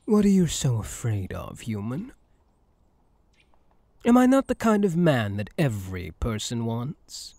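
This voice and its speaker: A smug elf hunter, acted by a man in an intimate ASMR audio roleplay. He is possessive and seductive, speaking slowly and deliberately with teasing swoops in pitch. The sound is dry and close. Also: warm tone, dry recording. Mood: teasing, vain.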